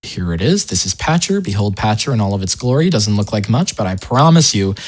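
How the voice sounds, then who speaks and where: A man hosting a casual software tutorial, talking informally with wry asides and interrupting himself as he goes. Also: on the low side, very animated, fairly quick. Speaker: tutorial host.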